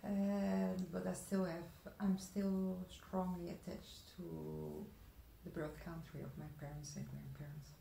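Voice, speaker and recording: Low gravelly-voiced woman, measured. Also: flat delivery, warm tone, slight background noise. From Netherlands. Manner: measured, many hesitations. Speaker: guest, foundation founder. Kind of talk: interview answers. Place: room at a wooden table. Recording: roomy room sound.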